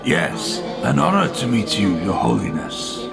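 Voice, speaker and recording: An actor playing a courteous, hesitant church helper in a radio play, halting and deferential. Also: male voice, lowish voice, wildly swooping pitch, vocal fry, over loud music, exaggerated voice. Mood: hesitant, troubled. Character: hesitant, courteous, troubled father helping at church.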